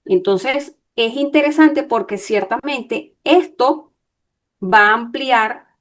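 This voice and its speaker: A woman lawyer giving an online workshop, explaining in a measured way and checking in with her listeners. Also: mid-range voice.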